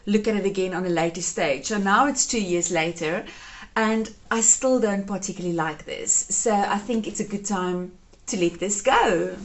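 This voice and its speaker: A woman in her thirties whose first language is Afrikaans, chatting her way through a home decluttering vlog and gushing over keepsakes. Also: medium pitch. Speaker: home organizing vlogger.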